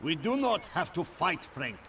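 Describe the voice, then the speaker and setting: A high-voiced scornful Ottoman commander. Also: big pitch swings, normal speed, a bit bright, far from the mic, a little hiss or hum. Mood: scornful, reasoning. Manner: disdainful, reasoning. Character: proud, scornful Ottoman commander, philosophical rival. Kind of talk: historical strategy game cutscene acting.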